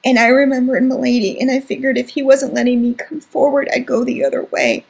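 A woman voicing an earnest, timid young boy who speaks quietly and slowly to his older sister. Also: mid-range voice, animated, creaky, slightly rough, exaggerated voice. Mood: tearful.

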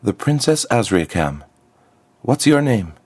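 A man's voice: mid-range voice, big pitch swings, unhurried, husky, pitched above the performer's own voice. A man voicing a winged young princess who speaks with earnest urgency.

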